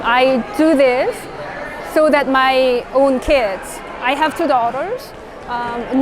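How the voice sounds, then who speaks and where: A middle-aged woman from Korea speaking, a school board chairperson giving rambling interview answers full of fillers and tag questions. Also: high-pitched. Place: indoor public event hall. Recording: crowd and noise behind.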